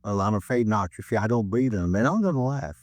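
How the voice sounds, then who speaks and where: A man's voice: fairly low pitch, expressive, fast talker, a little mellow, no echo, natural voice. An actor playing an eccentric, rambling crank who earnestly preaches doom in a 1950s radio drama, hesitating as he goes. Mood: grudging. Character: eccentric male crank author, rambling doomsday prophet.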